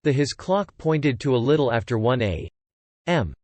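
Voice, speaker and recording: A man narrating fiction from a script as an audiobook narrator, in a measured, descriptive way. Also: medium pitch, lively intonation, darkish tone, dry recording.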